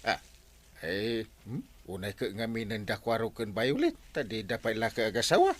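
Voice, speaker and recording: A man playing an excitable, suspicious village husband in a comedy radio drama, with an Ilocano accent. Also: lowish voice, normal speed. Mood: doubtful.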